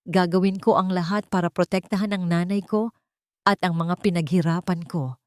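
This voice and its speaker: A woman's voice: fairly low pitch, fairly even intonation, normal speed, dry recording, clean studio sound, natural voice. A Filipino woman voicing a resolute self-made businessman with a firm manner in a dramatic story. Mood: firm, defiant. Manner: firm, hard-edged.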